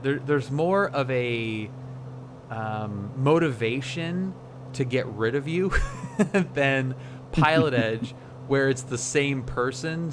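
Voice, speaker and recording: A man talking casually and reflectively on a livestream, often halting to search for words. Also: medium pitch, noisy background. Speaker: flight sim streamer.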